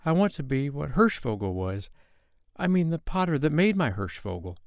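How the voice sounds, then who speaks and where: A man voicing an earnest small boy who pleads in a high, trembling voice. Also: very animated, normal speed, no room sound, studio quality. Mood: eager.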